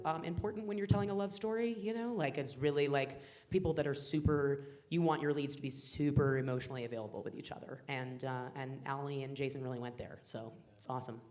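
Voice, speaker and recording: Low-voiced woman director, rambling. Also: fairly quick, few pauses. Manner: rambling, many fillers and restarts, animated quoting. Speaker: film director. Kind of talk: casual panel chat. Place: festival cafe stage.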